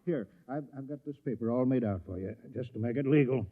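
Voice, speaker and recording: An actor playing a fussy, anxious small-town colony mayor in a 1950s radio drama, flustered and earnest with a folksy manner. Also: male voice, mid-range voice, brisk, close to an everyday voice. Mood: earnest, pleading. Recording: dull, muffled vintage radio recording.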